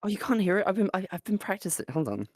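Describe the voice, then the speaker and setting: A man co-hosting a podcast in casual chat, animated and sidetracked, swearing freely. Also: high-pitched, rapid-fire, dry recording. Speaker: podcast co-host.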